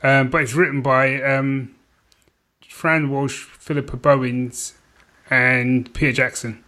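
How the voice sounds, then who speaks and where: A man's voice: mid-range voice, flat delivery, takes it slowly, sharp tone. A man co-hosting a podcast with casual banter, often hesitating as he thinks aloud. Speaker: podcast co-host.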